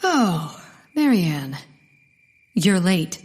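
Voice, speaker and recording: A woman's voice: on the low side, very animated, drawn-out words, husky, full character voice. An actress playing an earnest, cautious, literal-minded Victorian woman scientist in a comedic period audio drama, delivering it dryly. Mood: dazed, wistful.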